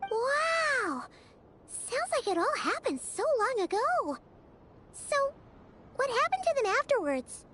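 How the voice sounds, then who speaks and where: A woman voicing a tiny, childlike, curious fairy companion in a game, squeaky and inquisitive. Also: very animated, takes it slowly, background noise, heavily acted. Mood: amazed, curious.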